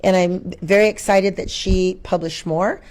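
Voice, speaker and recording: Reflective, expressive woman guest in conversation. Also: lowish voice, measured pace, low-quality recording. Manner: reflective, expressive, quotes her own thoughts and asks rhetorical questions. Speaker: interview guest, schoolteacher. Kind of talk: conversational interview answers.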